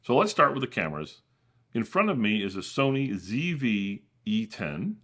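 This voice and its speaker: Low booming man giving a studio tour. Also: big pitch swings, normal speed, pushed and bright. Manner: casual and explanatory, with frequent fillers and restarts. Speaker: channel host. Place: small home studio at a desk. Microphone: camera-mounted shotgun mic.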